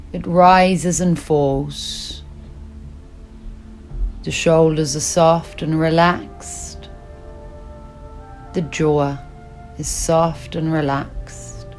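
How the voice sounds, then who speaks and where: A woman with a low voice leads a guided relaxation as a yoga nidra teacher. She speaks very slowly and soothingly, leaving long pauses between short instructions. Music plays behind her. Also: fairly even intonation, a little mellow.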